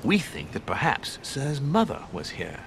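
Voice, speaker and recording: A ceremonious manor servant in a game cutscene. His medium-pitched voice swings in animated intonation, obsequious and formal. Rumbling noise sits clearly behind him. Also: unhurried, heavily acted. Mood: formal, careful. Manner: obsequious, formal, addresses listener in third person. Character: deferential, ceremonious manor servant, male, eager to reassure. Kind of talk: video game cutscene acting. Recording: constant rumbling background noise.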